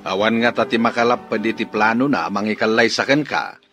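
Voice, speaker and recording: A man's voice: fairly low pitch, a little fast, music in the background, natural voice. An actor playing a distrustful, stubborn father in an Ilocano radio drama. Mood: suspicious. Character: distrustful, stubborn father wary of daughter's suitor.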